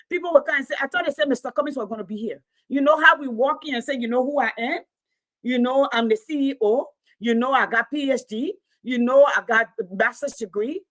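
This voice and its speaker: A woman's voice: high voice, brisk. A woman with a Liberian accent, telling a story in a casual talk show and often checking in with "okay?".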